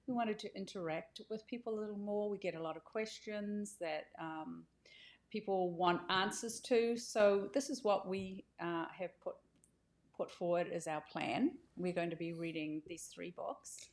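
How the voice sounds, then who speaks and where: A woman giving an informal welcome talk as an author and co-host, speaking conversationally and haltingly as she corrects herself. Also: mid-range voice.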